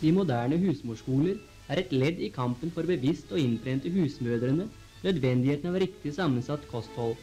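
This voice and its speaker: A man reading scripted 1940s newsreel narration in a formal, measured announcer style on an old film soundtrack. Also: a little high, darkish tone, low-quality recording. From Norway.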